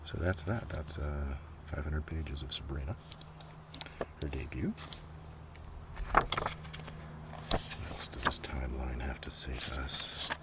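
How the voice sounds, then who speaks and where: Very deep, slow-talking ASMR man. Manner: unhurried, muttering asides, often drifting into whisper. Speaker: ASMR video host. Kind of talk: ASMR, softly rambling show-and-tell. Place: outdoors. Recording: noisy outdoor recording, breeze and handling sounds. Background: steady ambient noise, breeze, writing and page sounds.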